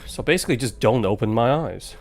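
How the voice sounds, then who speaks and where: A man doing casual live commentary as he plays a game, chatty and wry with halting reactions. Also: mid-range voice, animated, gravelly. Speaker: game streamer. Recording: faint game music behind.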